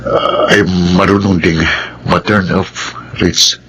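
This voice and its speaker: An actor playing an earnest, principled male lawyer in a Filipino radio drama, speaking deliberately. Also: low voice, steady tone, a bit bright, slight background noise. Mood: earnest, firm. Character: principled, earnest male lawyer telling his life story.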